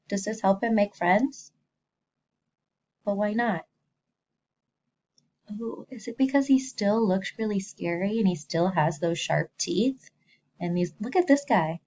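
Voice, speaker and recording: A woman teacher reading a story to young children, expressively, pausing to explain simply and ask the listeners questions. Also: medium pitch, a little mellow, muffled recording, slight background noise.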